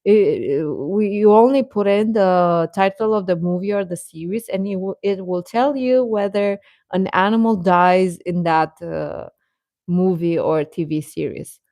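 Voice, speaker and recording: A woman's voice: on the low side, a little slow, a little mellow, dry recording, studio quality. A young adult woman hosting a live stream, chatting informally with her viewers and often chuckling as she talks.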